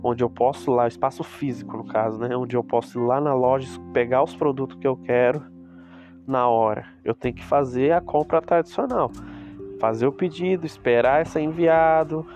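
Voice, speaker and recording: A man's voice: mid-range voice, normal speed, darkish tone. A Brazilian man talks to his viewers in a loose, rambling way, full of asides. His nose is stuffed up from a cold. Guitar music plays behind him. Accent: Brazilian Portuguese. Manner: chatty, rambling, with asides to the audience. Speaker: cosmetics reseller vlogger. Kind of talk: casual talk to viewers. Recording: music playing in the background.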